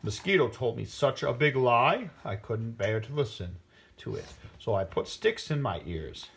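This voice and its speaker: A man's voice: mid-range voice, lively intonation, a little slow, creaky, a bit bright, breathy edge, voice pushed down, heavily acted. A man voicing a meek, aggrieved iguana explaining himself in a subdued, defensive way.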